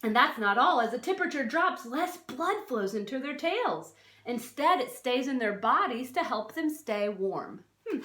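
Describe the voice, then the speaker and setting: A woman's voice: sits high, big pitch swings, moderate pace, fairly bright tone. A woman reading a non-fiction children's book aloud like a teacher, expressively, stressing key words and adding short explanatory asides. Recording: roomy, echoey sound.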